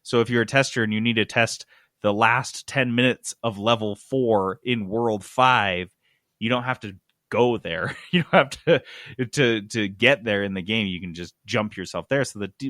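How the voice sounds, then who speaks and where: A man's voice: fairly low pitch, moderate pace, sharp tone, no echo, clean studio sound. A man hosting a gaming podcast, explaining news casually in an emphatic, sarcastic, sweary way. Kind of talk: casual comic explaining of game industry news.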